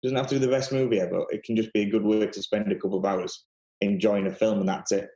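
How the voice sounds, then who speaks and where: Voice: low-pitched, fairly even intonation, fast talker, warm tone, echoey room, poor audio. A speaker in casual film chat, making points emphatically and repeating them for emphasis.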